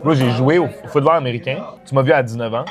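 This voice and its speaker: A man's voice: medium pitch, fast talker. Slangy young man, music behind. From Iran. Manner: slangy, mixes English into his French. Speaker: streamer host. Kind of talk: casual chat over a food tasting.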